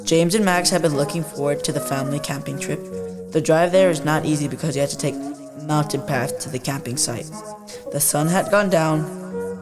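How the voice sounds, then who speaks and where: A boy in his early teens reading a scripted story aloud as a student, over music. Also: a little high. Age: young teenager.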